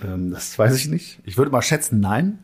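A man explaining the rules of a guessing game, then playing it in relaxed, joking chat, laughing often. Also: mid-range voice, moderate pace, breathy edge.

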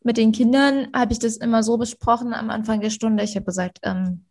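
A young woman, a student, giving an informal seminar talk in a casual, lively storytelling way. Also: fairly high pitch, a little fast. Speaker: student speaker.

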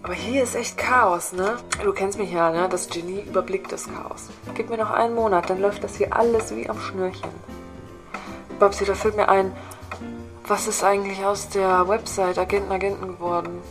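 A cocky female secret agent, played by a woman in a comic audio sketch. She is bossy and brash, very sure of herself, with a middle-pitched voice over faint music. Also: fairly even intonation, moderate pace, natural voice. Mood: boastful. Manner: brash, self-assured, punctuating with "hä" and "ne".

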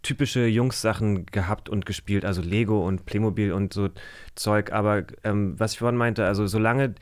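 A man's voice: fairly low pitch, fairly even intonation, moderate pace, clean tone. Reflective male guest, searching for words. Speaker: podcast guest. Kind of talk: casual conversational interview.

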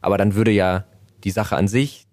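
A fairly low-voiced man hosting a conversation, asking long, winding questions full of fillers. The sound is dry and close. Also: fairly even intonation, normal speed, darkish tone, no echo. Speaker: podcast host. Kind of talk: interview conversation.

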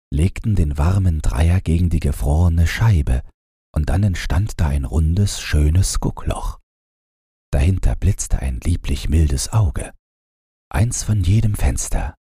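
Deep, husky, slow male storyteller. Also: warm tone, dry recording, studio quality. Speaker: audiobook narrator. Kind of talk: bedtime fairy tale narration.

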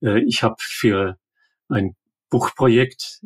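A man's voice: mid-range voice, slow pace, slightly rough. An older man, an eye doctor, explaining matter-of-factly in an interview. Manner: calm, explanatory, matter-of-fact. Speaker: ophthalmologist guest. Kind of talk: expert interview.

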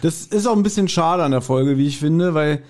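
A man's voice: a little high, a little fast, a little mellow. A man co-hosting a podcast, recapping an audio drama in a casual, colloquial way. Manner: colloquial, acts out quotes animatedly. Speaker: podcast co-host.

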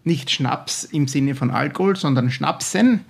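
Gravelly Austrian older man. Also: mid-range voice, a little slow. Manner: enthusiastic, rambling storyteller with many restarts. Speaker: guest chef. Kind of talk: casual podcast chat.

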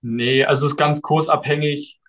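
A young man, a guest on a podcast, answering questions in a relaxed and thoughtful way, with frequent fillers. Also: on the high side, normal speed. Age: young adult. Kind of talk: casual podcast interview.

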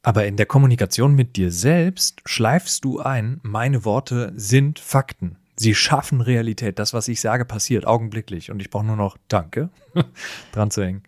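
A man's voice: fairly low pitch, normal speed, a little mellow, dry recording, clean studio sound. A man in a conversational podcast discussion, explaining things with emphatic phrasing. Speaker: podcast co-host.

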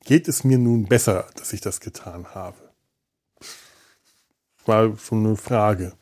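Husky, mellow man rambling casually. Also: fairly low pitch, takes it slowly. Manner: hesitant, thinking aloud. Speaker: podcast host. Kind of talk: casual rambling solo monologue. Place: indoors on a sofa.

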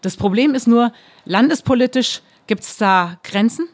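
Measured woman politician explaining. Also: fairly high pitch, expressive. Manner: measured, explanatory, with frequent "so" and filler pauses. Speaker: politician running for a district seat. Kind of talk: interview answers.

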